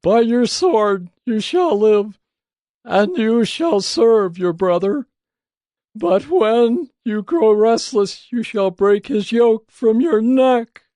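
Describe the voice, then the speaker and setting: A man's voice: sits high, expressive, takes it slowly, dark tone, no echo, pitched above the performer's own voice. A man voicing a frail, solemn old father in a dramatised scripture reading, speaking in a declamatory way. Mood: grave, sorrowful. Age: older.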